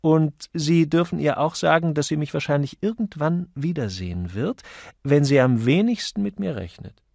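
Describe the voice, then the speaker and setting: A man voicing a witty, suave gentleman detective in audiobook dialogue, urbane, wry and playful. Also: fairly high pitch, animated, normal speed, creaky, dry recording, clean studio sound, voice pushed up. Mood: playful, instructing.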